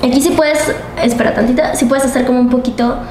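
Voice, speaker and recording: Young mexican girl host, highish voice, slangy and casual, roomy room w some noise. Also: steady tone, fast talker, a little mellow. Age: young adult. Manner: informal, slangy. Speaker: interviewer, host. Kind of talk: casual interview. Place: indoor studio set at a desk. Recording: roomy sound, noticeable background noise.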